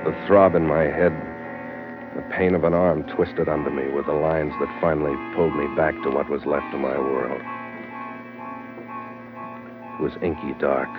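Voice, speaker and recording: An actor playing a tough, wry, hard-boiled sea captain in an old radio drama, terse and laconic. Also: male voice, rumbling low. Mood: brooding narration. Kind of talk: old-time radio drama acting and narration. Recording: muffled old radio, music behind.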